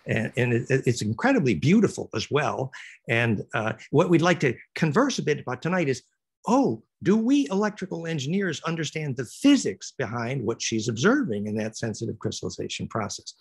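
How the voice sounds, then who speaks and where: A man lectures over a video call in a gravelly, husky voice that swings up and down with lively energy. He rambles through his explanation, filling gaps with uhs and restarts. His pitch sits a little high for a man. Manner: animated, rambling explanation with many fillers. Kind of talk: online lecture.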